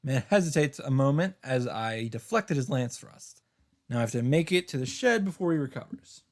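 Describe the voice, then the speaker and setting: A man reading a first-person story aloud in a theatrical, halting way, with dramatic emphasis. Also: medium pitch, animated. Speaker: streamer reading a visual novel aloud.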